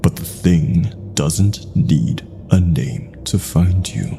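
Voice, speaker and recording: A man narrating a scripted horror story with deliberate pauses, his phrases sometimes trailing into hushed breath. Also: low voice, gravelly, soft background music.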